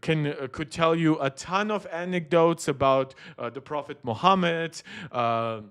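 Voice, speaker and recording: A young man from Germany reacts live on a stream in a fairly high voice. He rambles through strong opinions, stumbling over restarts and fillers as he goes. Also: unhurried, fairly bright tone, smooth. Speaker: streamer reacting to a tech video. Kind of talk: casual live-stream commentary.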